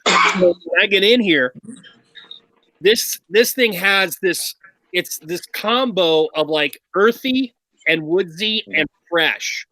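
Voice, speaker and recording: A man's voice: high-pitched, lively intonation, slow pace, pushed and bright, no room sound, poor audio. A man hosting a casual review chat on a video call, enthusiastic, drawing out his phrases. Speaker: show host, reviewer.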